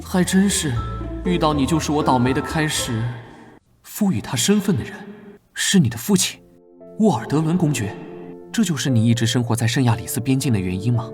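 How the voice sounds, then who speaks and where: A man's voice: medium pitch, expressive, fairly quick, lightly acted. Guarded young knight in long reverb, acted by a man. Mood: reserved, wistful. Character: guarded, proud young male knight vice-captain. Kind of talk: animated drama dubbing with inner monologue. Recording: long reverb, loud music behind. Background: music.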